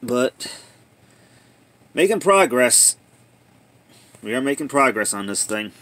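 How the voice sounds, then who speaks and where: A man giving casual, plainspoken commentary on a handheld video, thinking aloud in a halting way as a hobbyist showing a repair. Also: medium pitch, sing-song, a bit bright, no room sound. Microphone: handheld phone or camera.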